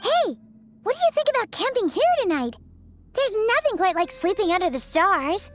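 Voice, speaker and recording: A woman's voice: very high voice, big pitch swings, moderate pace, over music. A woman voicing a small, childlike, cheerful and chatty fairy companion in a video game. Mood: chirpy, playful. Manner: refers to self in third person, bubbly. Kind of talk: video game character acting.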